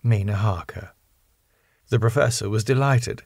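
A man's voice: fairly low pitch, unhurried, creaky, darkish tone, no room sound, clean studio sound. A man reading a classic novel as scripted, dramatic audiobook narration. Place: quiet dry recording space.

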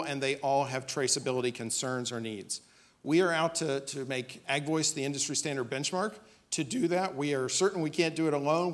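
A man giving a business pitch presentation as a startup presenter, speaking on stage into a podium microphone. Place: on stage in a dim auditorium.

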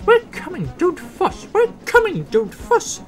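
A man's voice: huge pitch swings, measured pace, over music, full character voice. A man voicing fussy, chattering railway coaches in a children's story, in a singsong chanting manner. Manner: high, singsong, repetitive chant. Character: chattering, fussy train coaches answering in chorus.

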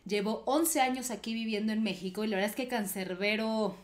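A woman's voice: mid-range voice, a little fast. A young woman from Venezuela with a light Venezuelan accent, hosting a podcast and explaining things thoughtfully, with many fillers, from a small bedroom streaming setup. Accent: Venezuelan, softened. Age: young adult. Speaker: podcast host. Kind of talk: casual reaction commentary.

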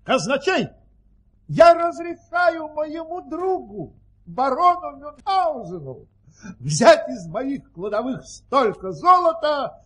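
An actor playing a pompous, capricious, greedy sultan in an audio play, theatrical and husky. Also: male voice, very high voice, big pitch swings, slow, a bit bright, slight background noise, exaggerated voice, rough recording. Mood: grandly generous.

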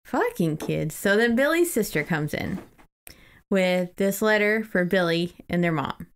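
A woman's voice: lowish voice, animated, a little slow, a little hiss or hum. An American woman co-hosting a podcast, talking casually with an irreverent, unfiltered manner. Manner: irreverent, freely swearing. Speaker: podcast co-host. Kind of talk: casual chat and book recap.

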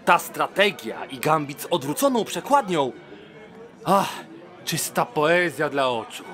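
An excitable gentleman admirer in a fantasy audio drama. His fairly high voice moves eagerly up and down as he flatters with great deference, faint music behind him. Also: a little slow. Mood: eager admiration. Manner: deferential, gushing.

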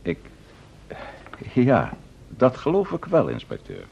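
An actor with a Netherlands Dutch accent playing a composed, evasive amateur detective in an old-fashioned radio play, courteous and measured. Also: male voice, mid-range voice, huge pitch swings, vocal fry, breathy edge, full character voice. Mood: guarded. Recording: dull, muffled old radio recording.

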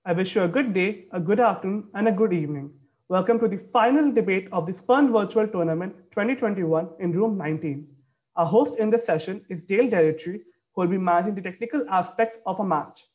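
A man's voice: high-pitched, darkish tone. A young man moderating a debate on a video call, reading formal announcements from a script. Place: indoor room, video call.

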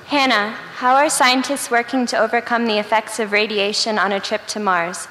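A woman's voice: fairly high pitch, fairly even intonation, normal speed, bright, forceful tone. Female student in an echoing hall. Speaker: student questioner. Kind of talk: reading a prepared question. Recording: echoing hall, faint background noise.

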